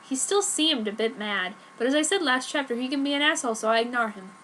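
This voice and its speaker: A woman's voice: sits high, expressive, fast, fairly bright tone. A woman giving a dramatic reading of a fan fiction story. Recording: noticeable background noise.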